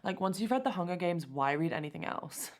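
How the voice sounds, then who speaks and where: Vain, gushing teen quizmaster. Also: female voice, lowish voice, animated, brisk. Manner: gushing, self-satisfied, peppered with "like". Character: vain, self-adoring nineteen-year-old female quizmaster. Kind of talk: interactive film acting.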